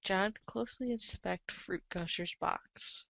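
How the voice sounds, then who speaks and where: A woman narrates a comic read-along with theatrical playfulness. She drops into casual asides as she goes. Her mid-pitched voice is recorded dry and close, with a somewhat dull, lo-fi sound. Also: takes it slowly, gravelly, fairly bright tone, no echo. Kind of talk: animated dramatic reading with casual asides.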